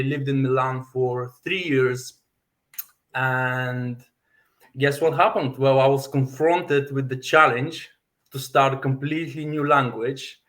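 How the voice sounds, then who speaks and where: Male guest, 30s, from Bulgaria, Bulgarian native speaker, mid pitch, slow and deliberate. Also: fairly bright tone. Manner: deliberate, many fillers and self-repeats. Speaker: fitness coach, podcast guest. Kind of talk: personal story in podcast interview.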